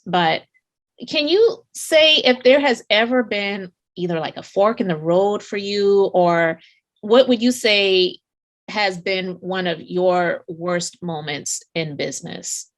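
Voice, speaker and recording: A woman's voice: medium pitch, lively intonation, takes it slowly, fairly bright tone. Effusive woman podcast host. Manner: effusive, full of praise. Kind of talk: podcast interview.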